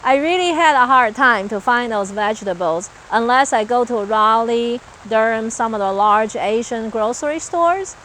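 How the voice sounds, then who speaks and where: High-voiced woman with a Taiwanese accent. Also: expressive, normal speed, hardly any pauses, fairly bright tone, slight background noise, rough recording. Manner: earnest, list-like, with hesitations. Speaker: agricultural researcher. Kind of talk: explanatory interview talk. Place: outdoors on a farm.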